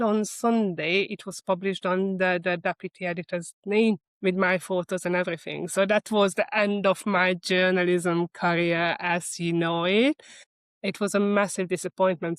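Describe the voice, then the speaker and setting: A woman's voice: lowish voice, clear, resonant tone, no room sound. A middle-aged woman with a Hungarian accent, speaking conversationally as an interview guest about herself and her work. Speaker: interview guest, marketing executive. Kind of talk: conversational interview, telling career story and advice.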